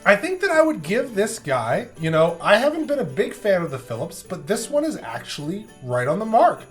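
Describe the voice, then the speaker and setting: Male host, casual comic banter, very varied pitch, exaggerated reactions, light music behind. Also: wildly swooping pitch, moderate pace. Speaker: beer review show host.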